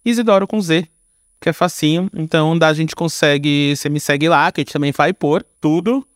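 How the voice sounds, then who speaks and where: A man's voice: fairly high pitch, a little fast, dry recording, clean studio sound. A Brazilian man chatting casually as a podcast guest, informal and slangy, thinking aloud as he goes. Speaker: podcast guest, filmmaker and screenwriter. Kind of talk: casual chat.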